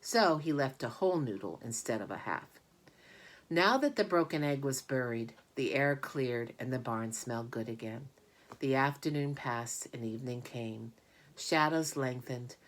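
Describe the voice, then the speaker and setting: A woman narrating a children's book in an expressive read-aloud manner. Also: rumbling low. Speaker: storybook narrator.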